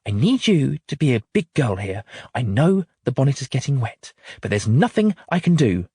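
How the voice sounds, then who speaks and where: A harried mother, short-tempered and swearing under her breath, as a male narrator puts her on. The voice is raised in pitch, lively, and slightly husky. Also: moderate pace, no room sound, studio quality, exaggerated voice. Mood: stressed. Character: stressed, harried young mother.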